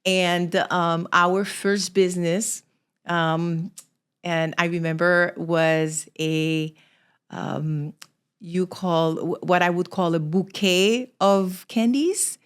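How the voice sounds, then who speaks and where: A woman's voice: on the low side, fairly even intonation, slow, clear, resonant tone. A middle-aged woman from Haiti, telling her own story conversationally as a podcast guest. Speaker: podcast guest, small business founder.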